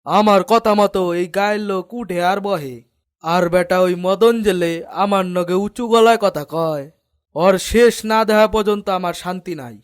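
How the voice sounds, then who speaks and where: A man's voice: high-pitched, moderate pace, smooth, close to an everyday voice. A man acting a pompous, vengeful village headman in a cartoon, speaking in a rural Bengali dialect with a threatening manner. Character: arrogant, vengeful village headman plotting revenge.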